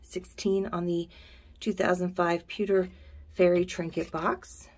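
A woman's voice: lowish voice, little pitch movement, unhurried, a little mellow. A middle-aged woman giving a chatty shop tour of her antique store, pricing pieces as she films with a handheld phone. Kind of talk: casual video shop tour. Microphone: handheld phone camera.

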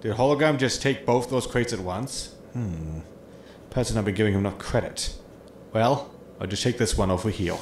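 A man's voice: fairly low pitch, moderate pace, natural voice. Man acting a sarcastic spaceship crewman, echoey. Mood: impatient, puzzled. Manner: sarcastic, exasperated. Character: grumbling, money-minded, sarcastic spaceship crewman. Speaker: actor in an audio drama. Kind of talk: sitcom audio drama acting. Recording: roomy echo with noisy ambience.

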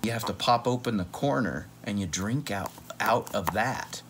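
Male read-aloud host, thirties, playful and chatty, very lively intonation, slight room noise behind. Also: fairly low pitch, normal speed. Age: 30s. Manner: playful, wandering asides. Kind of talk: chatty children's picture book read-aloud. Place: small room at home, on a couch.

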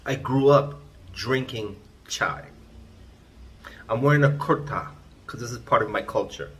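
A man's voice: medium pitch, unhurried, slight background noise. A man, hosting his own vlog and giving an emphatic, lecturing rant to a phone camera in a small room indoors. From Trinidad and Tobago. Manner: emphatic, lecturing, repeats points. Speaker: vlogger, channel host. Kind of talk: ranting vlog monologue to camera. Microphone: phone camera at arm's length.

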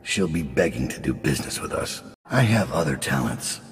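An actor playing a middle-aged, world-weary assassin leader in a video game, grim, measured and dryly threatening, with a gravelly, very deep voice. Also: male voice, lively intonation, long reverb tail. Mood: dry, commanding. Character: gravelly, world-weary, menacing master assassin leading a gang. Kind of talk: video game character acting.

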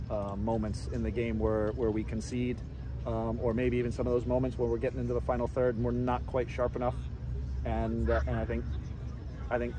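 Middle-aged coach at an outdoor postgame presser. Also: male voice, mid-range voice, background noise. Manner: measured, repeats words while thinking. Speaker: head soccer coach. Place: outdoors by a stadium track at night.

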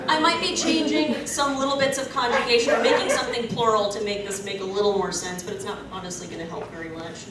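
A young woman playing an anxious, awkward anime character as a panel host, halting and giggly. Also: mid-range voice, steady tone, a crowd in the background, close to an everyday voice. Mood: awkward, fretful. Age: young adult. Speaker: fan convention panel host. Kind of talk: live panel word game and mock-dramatic poetry reading. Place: convention panel room, handheld mic through PA. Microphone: handheld mic over speakers, distant camera. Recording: echoey convention hall from far, noisy.

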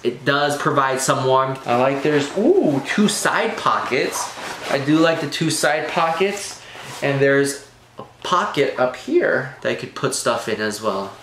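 A man in his thirties talks casually to camera like a product reviewer. His voice sits at an average male pitch with an upbeat delivery. The room adds a clear echo, and there is some background noise. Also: animated, a little slow, fairly bright tone. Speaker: menswear review vlogger. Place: small bare room at a desk, indoors.

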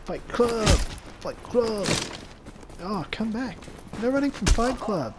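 Man, comic gameplay commentary, sarcastic, mock-heroic, lively pitch, heavy game gunfire in background. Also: slow pace, very noisy. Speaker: gamer voicing the protagonist as a joke. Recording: loud gunfire and game sound behind. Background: game gunfire and ambience.

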